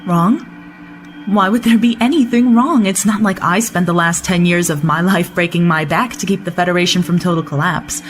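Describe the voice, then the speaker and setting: An actress playing a proud, combative starship commander in an audio drama, sarcastic and blunt. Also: female voice, on the low side, moderate pace, faint music behind, close to an everyday voice. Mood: bitter, angry.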